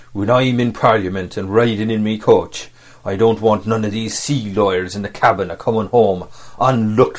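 A man voicing a sly, scornful, commanding sea cook for an audiobook, in speech with emphatic rustic phrasing. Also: fairly low pitch. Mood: cold, calculating. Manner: rustic sailor talk, emphatic, drawn-out delivery. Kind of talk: audiobook character acting.

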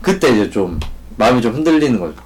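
A young man in his twenties chatting casually on a podcast, talking frankly in halting phrases with repeated words. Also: mid-range voice, sing-song, faint music behind. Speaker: podcast co-host. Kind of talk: casual chat.

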